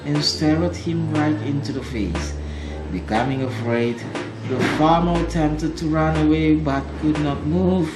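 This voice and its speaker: Folk tale narrator, deliberate and dramatic, unhurried, with roomy sound over loud music. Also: medium pitch. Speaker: storyteller. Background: loud instrumental music.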